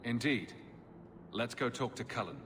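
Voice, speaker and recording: A man playing a blunt, steady adventuring champion in a video game cutscene, speaking directly. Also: medium pitch, a little slow, noisy background, close to an everyday voice.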